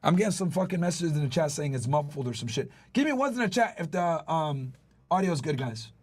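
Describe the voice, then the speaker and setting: A man's voice: a little high, animated, fairly quick. A man hosting a livestream explainer, talking emphatically with casual swearing, repeated points and frequent check-ins with his listeners. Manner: checks in with listeners using "right?", "okay?". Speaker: livestream host, former federal agent.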